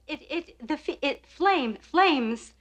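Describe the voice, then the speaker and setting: A woman acting in a comedy film, speaking in a halting, stammering way. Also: high voice, lively intonation.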